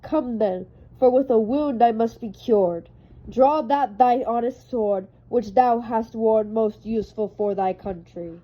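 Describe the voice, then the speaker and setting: A woman performing a defeated, anguished Roman general who pleads to die, in a solemn, deliberate Shakespearean recitation. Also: on the high side, pitched above the performer's own voice, lightly acted. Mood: urgent pleading. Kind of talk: dramatic recitation of a Shakespeare monologue. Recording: dull lo-fi sound.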